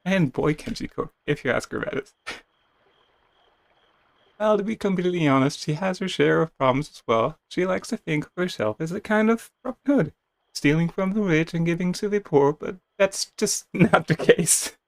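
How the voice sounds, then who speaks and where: Higher-voiced man reading game text, dry sound. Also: animated, moderate pace, gravelly, dry recording. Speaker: video game streamer reading game text aloud. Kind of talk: dramatic reading of game narration with casual asides.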